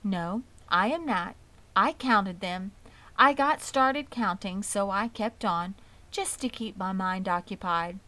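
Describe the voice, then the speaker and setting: A woman voicing a wise, dignified, ageing female spider in a storybook, gentle, weary and composed, with slightly formal speech. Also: mid-range voice, slow pace. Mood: matter-of-fact.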